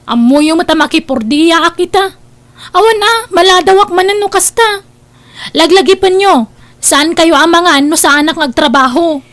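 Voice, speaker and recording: A woman's voice: extremely high pitch, normal speed, a bit bright. A woman playing a spoiled, lazy, bossy daughter in her twenties in an Ilocano-accented audio drama, demanding and whiny. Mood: demanding, annoyed. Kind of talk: amateur radio-style Ilocano audio drama.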